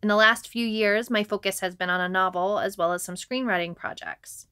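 A woman's voice: mid-range voice, steady tone, fairly quick, sharp tone, clear, resonant tone. A woman talking conversationally as a podcast co-host.

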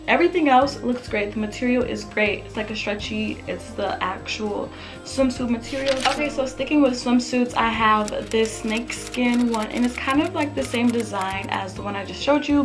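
A young woman with a slightly raspy voice, chatting upbeat and casual through a clothing try-on haul in her bedroom, talking to the camera up close. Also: medium pitch. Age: young adult. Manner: chatty, upbeat, lots of fillers. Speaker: fashion vlogger. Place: bedroom with photo-collage wall, indoors.

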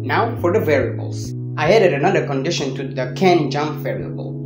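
A man's voice: on the high side, expressive, normal speed. Young man in a roomy space over music. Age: young adult. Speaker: tutorial host. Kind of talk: scripted coding tutorial narration. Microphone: handheld microphone with furry windscreen. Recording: roomy echo, music underneath. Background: background music.

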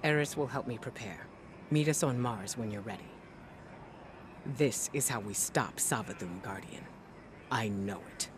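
A woman playing a resolute commander in a video game, briefing a soldier in an earnest, deliberate, urgent way. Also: very deep voice, noisy background. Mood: determined.

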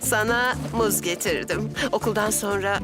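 A woman's voice: medium pitch, moderate pace, music in the background, natural voice. A woman voicing an affectionate, exclamatory grandmother full of little ohs in a children's cartoon dub. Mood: chatty, encouraging. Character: affectionate, chuckling grandmother of a young boy.

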